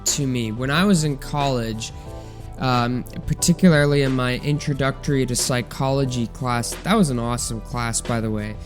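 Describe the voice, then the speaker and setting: A young man hosting a solo science podcast, chatting casually with a congested, nasal voice and lots of ums and restarts. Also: mid-range voice. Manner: rambling, filler-heavy, relaxed. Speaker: podcast host.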